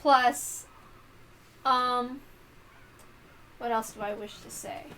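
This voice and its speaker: A woman hosting a read-along, giving casual commentary in a rambling, halting way that often interrupts itself. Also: fairly high pitch, steady tone, pushed and bright, a little hiss or hum, poor audio. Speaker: host reading a visual novel aloud.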